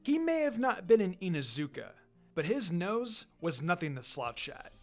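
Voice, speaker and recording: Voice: medium pitch, very animated, moderate pace. A narrator reading fiction aloud in a dramatic, scripted storytelling style. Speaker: narrator, fan fiction reader.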